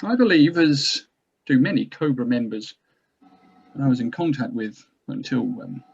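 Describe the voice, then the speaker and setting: A man's voice: mid-range voice, animated, normal speed, a little mellow, husky. A man playing a pompous, evasive government geneticist in a scripted drama table read, speaking formally and hesitantly. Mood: evasive, measured. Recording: dull lo-fi sound.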